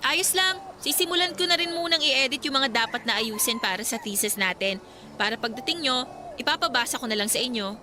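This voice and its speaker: A woman's voice: sits high, lively intonation, a little fast, background noise. A woman playing a young college student in a Tagalog radio drama, firm and exasperated with her ex. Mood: casual, patient. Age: young adult. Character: young female college student, fed up with controlling ex.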